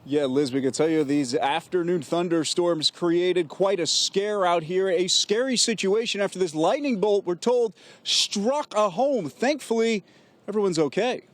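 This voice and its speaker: High-pitched American male reporter. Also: measured pace. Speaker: TV news reporter. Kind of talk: live news report.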